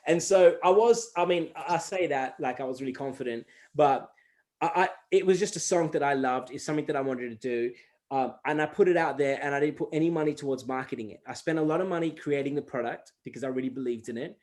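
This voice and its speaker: A man's voice: a little high, fast talker. A man, a hip-hop artist who grew up speaking Gujarati, talking in a casual interview, telling stories animatedly and with many restarts. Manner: animated storytelling, acts out quoted exchanges; frequent fillers and restarts.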